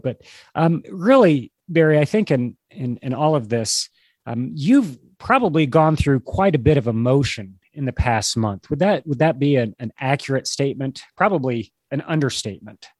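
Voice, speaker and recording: A man co-hosting a podcast in casual conversation, a bit congested from a cold, speaking in an earnest, halting, wordy way. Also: mid-range voice, animated, no echo. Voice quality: slightly stuffy, congested. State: struggling with a cold. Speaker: podcast co-host. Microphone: video call.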